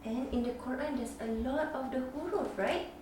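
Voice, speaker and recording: A mother with a Singaporean accent reading a children's picture book aloud, expressive and sing-song, with gasps. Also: sits high, normal speed, noisy background. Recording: echoey room, mic at a distance.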